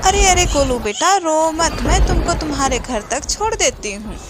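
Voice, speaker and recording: A woman's voice: sits high, animated, moderate pace, background noise. A woman voicing a small female forest bird in a children's cartoon story, firm but motherly and caring. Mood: concerned, motherly. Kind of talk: animated children's moral story. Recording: loud music behind.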